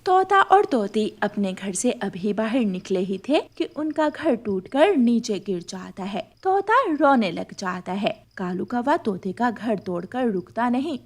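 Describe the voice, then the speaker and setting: A woman's voice: a little high, big pitch swings, measured pace, few pauses. A woman reading scripted narration for a children's cartoon story, as the storyteller.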